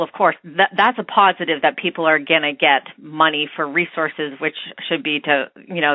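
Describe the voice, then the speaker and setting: Female American caller, tinny phone line, dry sound, medium pitch, informal and halting, thinking through her opinions aloud. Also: no echo. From United States. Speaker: podcast listener leaving a voicemail. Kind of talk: informal spoken opinion message.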